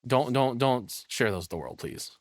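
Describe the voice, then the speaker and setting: A man streams his reactions in a casual, off-the-cuff way. He is blunt and opinionated, swears freely and rambles as he thinks aloud. His middle-pitched voice is recorded close and dry, with no room around it. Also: animated, normal speed, dry recording, soft background music. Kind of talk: casual live reaction commentary.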